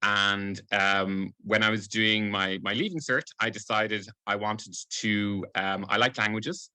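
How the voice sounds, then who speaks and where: A man's voice: on the low side, little pitch movement, measured pace, sharp tone, smooth, no echo. A man in his late forties from Cork with a light Irish accent, giving a webinar talk with many ums and restarts. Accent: light Irish, not strongly regional. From Ireland (Cork). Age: late 40s. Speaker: embassy staff speaker. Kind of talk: webinar presentation.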